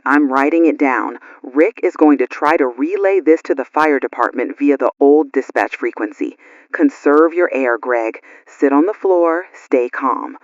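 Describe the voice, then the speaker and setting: A woman's voice: deep voice, measured pace, dry recording, muffled recording. A woman playing an anxious radio news co-host during a blackout, in an audio drama. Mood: urgent, steadying.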